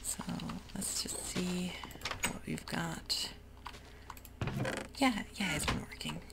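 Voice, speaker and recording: A woman whispering ASMR gameplay commentary in a soft, rambling way with many ums. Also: fairly low pitch, slow, creaky, heavy background noise. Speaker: gaming ASMR host.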